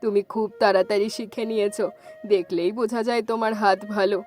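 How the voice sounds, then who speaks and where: A woman's voice: medium pitch, flat delivery, fairly quick, darkish tone, faint music behind, natural voice. A woman voicing a kindly, encouraging old village grandmother in a cartoon story. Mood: content, affectionate. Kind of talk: cartoon story dialogue in Bengali.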